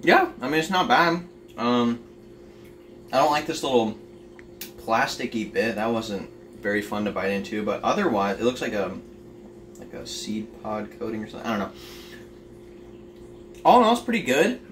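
A man's voice: medium pitch, expressive, moderate pace, long pauses, a bit bright. Young man, casual cooking vlogger, offhand and chatty, picked up from across an echoey kitchen. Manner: chatty, offhand, lots of fillers. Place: home kitchen. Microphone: camera on the counter, across the kitchen. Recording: roomy, distant camera audio with noise.

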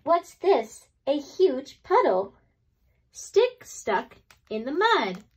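A woman reading a children's picture book aloud expressively, with deliberate dramatic pauses between phrases. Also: sits high, sing-song. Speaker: read-aloud storyteller.

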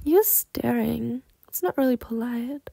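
A woman's voice: fairly high pitch, a little slow, creaky, no room sound. A woman playing a flirty, teasing, confident half-snake lamia in an ASMR roleplay, coaxing the listener. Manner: flirtatious, coaxing, breaking into soft giggles and whispered asides.

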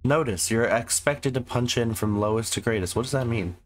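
A sick, hoarse man casually commenting on a game he plays, rambling and sarcastic as he reads the game text aloud. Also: mid-range voice, a little fast, a little hiss or hum. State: sick, losing his voice. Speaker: gameplay commentator. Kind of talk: casual let's-play commentary.

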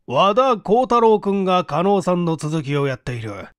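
A man's voice: high voice, very animated, normal speed, dry recording, clean studio sound. A man voicing a genial, teasing male company president in audiobook dialogue, familiar and wry.

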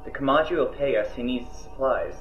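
A man's voice: medium pitch, brisk, natural voice. A man playing a grumbling, world-weary cargo ship pilot in an audio drama, talking casually. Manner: casual grousing. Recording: dull, echoey sound with music.

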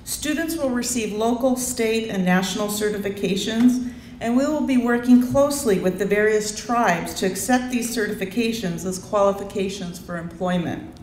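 A woman's voice: medium pitch, normal speed, darkish tone, a little hiss or hum. A middle-aged woman, an education official, reading a prepared speech formally at a podium outdoors. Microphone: podium mic. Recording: echoey outdoor PA sound.

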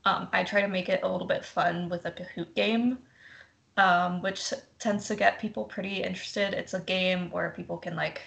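Brief: young woman on a video call, lowish creaky voice, relaxed and chatty. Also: normal speed, muffled recording. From Mississippi. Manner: chatty, frequent ums and likes. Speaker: campus prevention educator. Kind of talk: casual explanation on a video call.

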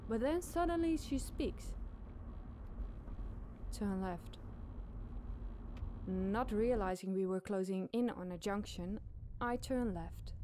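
A woman telling a scary campfire story in a suspenseful, deliberate, dramatic narration. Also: on the high side, warm tone, a little hiss or hum. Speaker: podcast storyteller.

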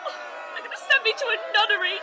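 A woman's voice: high-pitched, expressive, takes it slowly, crowd noise, noisy background. An actress playing a dramatic, fluttery woman in a dubbed film comedy, with melodramatic delivery. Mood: distraught.

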